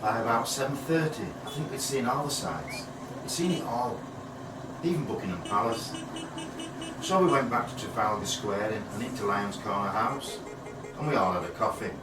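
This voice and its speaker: A man's voice: medium pitch, animated, moderate pace, over loud music, natural voice. A man acting a northern English rugby fan in a radio play, recalling things in a rambling, anecdotal way. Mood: reminiscing. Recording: echoey, distant, noisy background.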